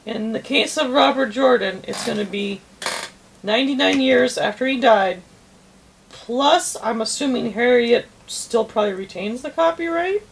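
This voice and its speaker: A woman's voice: on the high side, normal speed, vocal fry, slight background noise, low-quality recording. A woman chatting casually in a blunt, opinionated way, swearing freely. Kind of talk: casual chat.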